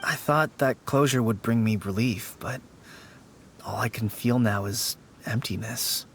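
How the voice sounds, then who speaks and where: A man's voice: medium pitch, measured pace, fairly bright tone, a little hiss or hum, close to an everyday voice. An actor playing a weary, grieving young man who speaks earnestly and wistfully. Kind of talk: game cutscene voice acting.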